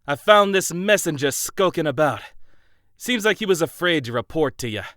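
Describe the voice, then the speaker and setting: Bright, cocky villain, acted by a man. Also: on the high side, animated, moderate pace, no room sound, clean studio sound. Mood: mocking. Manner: teasing, sardonic, singsong. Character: cocky, mocking villainous offworlder henchman. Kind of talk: comic dub voice acting.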